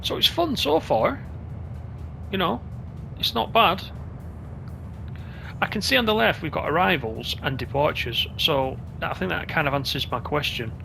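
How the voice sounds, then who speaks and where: A man's voice: on the high side, expressive, measured pace, creaky, long pauses, pushed and bright, soft background music. A man giving casual gameplay commentary as a video host, chatty and thinking aloud.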